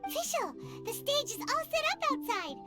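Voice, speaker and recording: A tiny childlike fairy companion for a fantasy game. The voice is extremely high and lively, swooping through casual, cheeky chatter at an unhurried pace, with music behind. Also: female voice, full character voice. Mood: eager, awkward. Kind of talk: video game voice acting.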